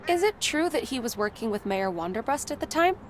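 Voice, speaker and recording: Higher-voiced, inquisitive reporter. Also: female voice, sing-song, a little fast, a little hiss or hum. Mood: probing. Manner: polite, probing. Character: polite, inquisitive female newspaper reporter. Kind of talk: video game character dialogue.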